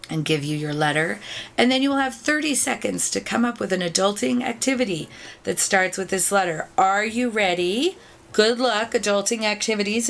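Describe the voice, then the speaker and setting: Woman host, middle-aged, gravelly sore-throat rasp, chatty and upbeat, faint hiss behind. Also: mid-range voice, fairly bright tone. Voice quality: raspy. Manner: chatty, upbeat, thanks viewers often. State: raspy throat after illness. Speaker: video game channel host. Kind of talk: casual chat to viewers.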